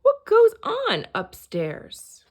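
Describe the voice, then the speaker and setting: A woman's voice: very high voice, wildly swooping pitch, extremely slow, voice pushed up, exaggerated voice. A woman voicing a meek, patient, put-upon male moose in a children's storybook. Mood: puzzled.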